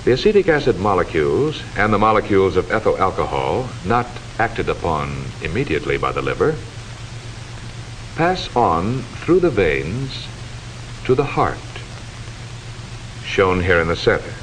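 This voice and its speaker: A man delivering formal, measured, instructive scripted narration in the style of a 1940s educational film, on a hissy old soundtrack. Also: deep voice, very animated, a bit bright, poor audio.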